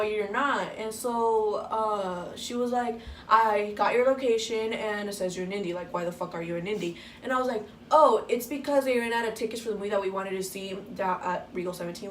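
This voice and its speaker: A teenage girl chats through a story in a casual way, swearing often and acting out each line of dialogue. She sounds a little far from the mic in an echoey room, with a faint hiss behind her. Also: mid-range voice. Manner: casual, swears freely, acts out quoted dialogue. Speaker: vlogger.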